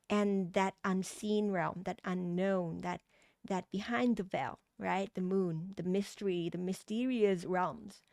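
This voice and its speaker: A woman's voice: medium pitch, darkish tone, dry recording, clean studio sound. A woman with a Thai accent, a tarot reader giving a meandering spiritual reading with many restarts and fillers. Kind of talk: pick-a-card tarot reading.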